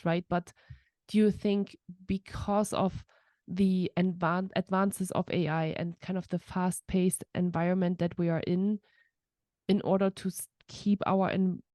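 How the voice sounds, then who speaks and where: A woman's voice: on the low side, warm tone, no room sound. A woman hosting an interview podcast, supportive and asking long, searching questions. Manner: supportive, frequent affirmations. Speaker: podcast host. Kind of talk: conversational interview.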